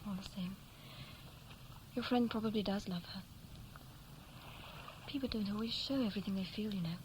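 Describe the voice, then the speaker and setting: A woman's voice: medium pitch, normal speed, darkish tone, natural voice. Actress as an inquisitive young woman, faintly noisy recording. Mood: reflective, candid. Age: young adult. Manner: inquisitive, conversational. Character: curious, gently probing young woman visiting from London. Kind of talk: 1960s radio play acting, naturalistic dialogue. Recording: faintly noisy vintage 1960s radio recording.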